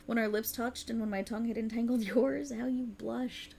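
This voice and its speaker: A woman's voice: on the high side, fairly even intonation, moderate pace, creaky, warm tone, slight background noise. A woman voicing a sultry, cruel and mocking otherworldly warlock patron in a theatrical ASMR roleplay, teasing and condescending. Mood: gloating, savoring.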